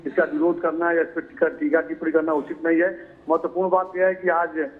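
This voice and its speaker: Male field reporter, live news report, phone-like audio, slightly high voice, flat delivery, filler-heavy. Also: fairly quick. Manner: filler-heavy, repeats "jo hai" and "dekhiye". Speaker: bureau chief reporter. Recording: tinny phone-line audio.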